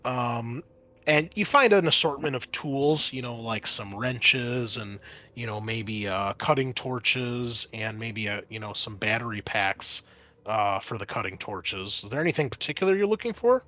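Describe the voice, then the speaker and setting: A man's voice: mid-range voice, lively intonation, measured pace, bright, forceful tone. A man narrating a tabletop roleplaying game as game master in a casual, conversational way, swearing freely.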